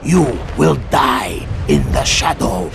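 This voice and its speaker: A man's voice: medium pitch, lively intonation, takes it slowly, creaky, exaggerated voice. A man playing a vengeful, menacing hunter chieftain in a video game, with declamatory delivery. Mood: vengeful, threatening. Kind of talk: video game villain acting. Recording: loud music over the voice.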